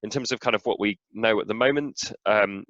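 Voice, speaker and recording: A man with a cold, hoarse as he presents a slide update to a webinar audience, with many "uh"s and "um"s. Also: lowish voice, a little fast, a bit bright, no room sound, lo-fi sound. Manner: filler-heavy, frequent "uh" and "um". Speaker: senior relationship manager giving an update.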